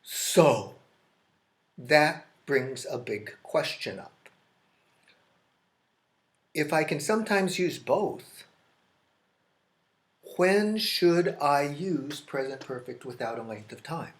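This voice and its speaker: An American man teaching an English grammar lesson, explaining slowly and repeating key phrases, often restarting his sentences. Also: fairly high pitch, big pitch swings. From United States. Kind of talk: classroom grammar lesson at a whiteboard. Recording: echoey classroom.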